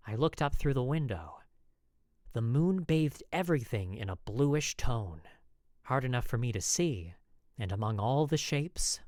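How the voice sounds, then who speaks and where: A voice actor narrating a first-person story like a journal, expressive and in character. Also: mid-range voice, no echo, studio quality.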